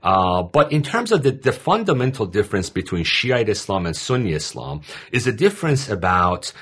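A man in his forties from Iran, a guest in an interview, telling his story in a measured, explanatory way. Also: on the low side, very animated, fairly bright tone, breathy edge. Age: 40s. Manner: measured, explanatory, with frequent uh fillers. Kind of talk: interview conversation.

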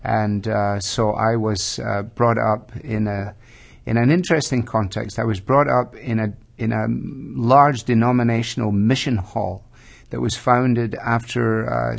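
A Scottish man in his fifties, a pastor answering interview questions in a measured, thoughtful way with frequent pauses. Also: fairly low pitch, creaky. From Scotland. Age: 50s. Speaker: pastor and guest. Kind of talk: reflective interview storytelling.

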